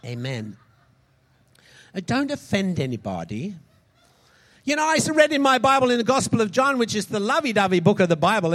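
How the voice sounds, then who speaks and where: A man preaching a sermon, impassioned, swinging between loud shouts and soft, quick asides. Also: sits high. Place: large hall converted into a church.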